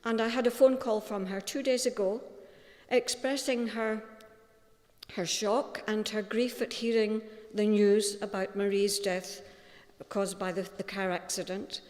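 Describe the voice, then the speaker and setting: A woman with a Scottish accent giving a gentle, storytelling tribute at a funeral. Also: medium pitch, level tone, a little slow. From Scotland. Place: funeral chapel. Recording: long reverb of a large hall.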